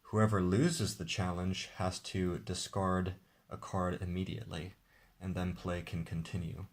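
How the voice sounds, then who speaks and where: Man, very low voice, casual game demo, relaxed explainer. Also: measured pace. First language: English. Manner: relaxed, explanatory, with occasional restarts. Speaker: game designer demonstrating his card game. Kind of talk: casual tabletop game demonstration.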